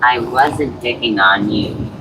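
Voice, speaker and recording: A man's voice reading viewer chat messages aloud, name by name, in a flat, even delivery. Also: mid-range voice, slow, noisy background.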